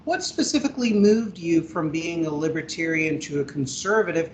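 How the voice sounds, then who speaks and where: A man hosting an interview, asking long questions in a measured way. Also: fairly high pitch, lively intonation, a little hiss or hum, rough recording. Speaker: host.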